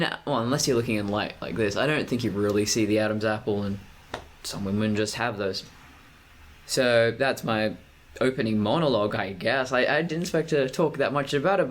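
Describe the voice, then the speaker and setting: Deep-voiced man, measured vlog talk. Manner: deliberate, measured pacing with frequent restarts. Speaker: vlogger. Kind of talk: personal vlog monologue.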